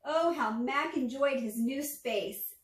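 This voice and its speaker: A woman in her forties reading a children's picture book aloud, with measured, expressive storytelling. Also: sits high. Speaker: storytime reader, former teacher. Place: small furnished room at home, indoors. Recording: echoey room, distant microphone.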